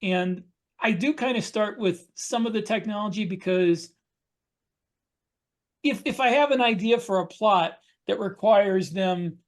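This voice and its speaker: A man talking casually as a podcast co-host on a video call, often halting and rephrasing as he explains. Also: high voice. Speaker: podcast co-host, science fiction author. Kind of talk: casual panel discussion. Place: indoors at home, video call.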